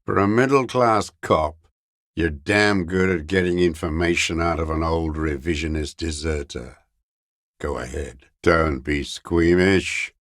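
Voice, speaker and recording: Game role: aged revolutionary holdout, deep-voiced, very slow delivery, scornful, weary and unrepentant. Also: male voice, fairly bright tone, no echo, studio quality. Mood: bitter. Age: older. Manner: contemptuous, ideological, slow and weary. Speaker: video game character actor. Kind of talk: dramatic game voice acting, bitter monologue.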